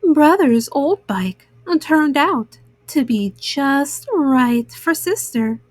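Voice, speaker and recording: A woman reading a children's story aloud in an expressive, measured narration for young listeners. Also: high voice, no echo.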